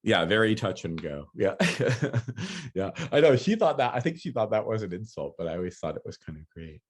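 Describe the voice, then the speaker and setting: A poet answering audience questions in a relaxed, loose way, with lots of fillers. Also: male voice, lowish voice, a little fast. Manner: relaxed, many "you know" fillers, laughs easily. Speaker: featured speaker, poet. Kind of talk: casual talk and Q&A.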